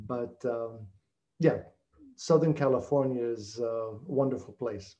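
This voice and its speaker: Older man with a slight Austrian accent. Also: medium pitch. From Chicago, United States. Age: 60s. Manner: relaxed, unhurried, with frequent ums. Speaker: alumnus guest. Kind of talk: casual video call chat. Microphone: video call. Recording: dull lo-fi video call audio.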